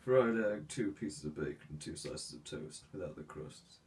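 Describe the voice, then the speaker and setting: A man's voice: low voice, sing-song, unhurried, a little mellow, distant mic. A man voicing a haughty, sick young heir in an ASMR roleplay, formal and hesitant with fillers, stuffy with a cold and sneezing.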